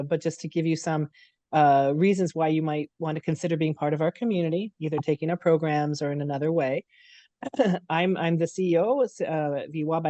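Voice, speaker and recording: A woman speaking as CEO of a nonprofit at a webinar, talking from her apartment over a video call with a voice rough from a cold. She is conversational and speaks straight to the audience. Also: low voice, mellow, dry recording. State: has a cold. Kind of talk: webinar welcome talk.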